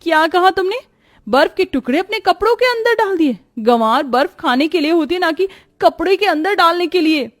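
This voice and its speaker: A woman's voice: very high-pitched, expressive, normal speed. A woman voicing a bossy, scolding middle-aged mother-in-law in a dramatized moral story.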